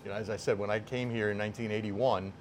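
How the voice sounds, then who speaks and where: A middle-aged or older man, a sports announcer, talking as a guest in a casual interview with emphatic, deliberate phrasing. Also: fairly low pitch, steady tone, a little hiss or hum. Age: 50s or older.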